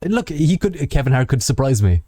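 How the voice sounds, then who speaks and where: A man's voice: mid-range voice, very animated, moderate pace, warm tone, dry recording, clean studio sound. A man co-hosting a casual podcast about games and films, speaking in a wry, blunt, sceptical way. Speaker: podcast co-host.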